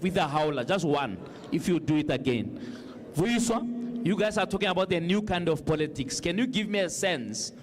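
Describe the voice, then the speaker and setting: A South African man moderating a town hall panel, speaking bluntly like a schoolmaster and repeating himself. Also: fairly high pitch.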